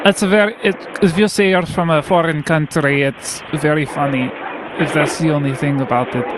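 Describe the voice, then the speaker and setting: A man's voice: on the high side, steady tone, normal speed, noisy background. A man hosting a live comedy radio show with prank calls, rambling and stumbling over his words while laughing at himself.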